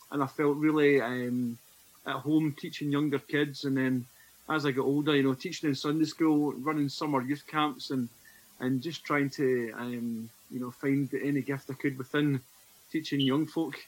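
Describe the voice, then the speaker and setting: A Scottish man in his forties speaks as a podcast guest, earnest and reflective as he tells his own story. His pitch sits in an ordinary middle range for a man. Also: fairly bright tone, poor audio. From Scotland. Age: 40s. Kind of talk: personal testimony in conversation.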